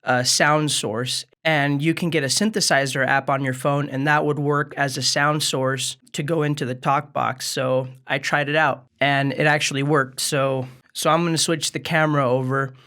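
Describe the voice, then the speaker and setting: A man's voice: on the high side, little pitch movement, moderate pace, hardly any pauses, pushed and bright. A man presenting a casual step-by-step tutorial vlog in an easygoing, conversational way, addressing his viewers directly. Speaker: music gear video maker and presenter.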